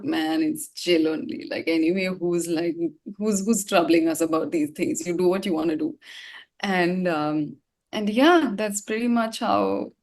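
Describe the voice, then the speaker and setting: A woman with an Indian English accent speaking informally and reflectively as a singer-songwriter in an interview. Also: deep voice. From Gangtok, India. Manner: informal, expressive, reflective, slangy. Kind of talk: casual, candid interview talk.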